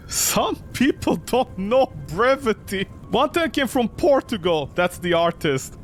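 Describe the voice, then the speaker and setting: A man's voice: sits high, very animated, takes it slowly, fairly bright tone, faint music behind. A man giving sarcastic, nitpicking gameplay commentary as he streams a game. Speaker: streamer playing and commenting on a horror visual novel. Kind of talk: gameplay commentary mixed with reading dialogue aloud.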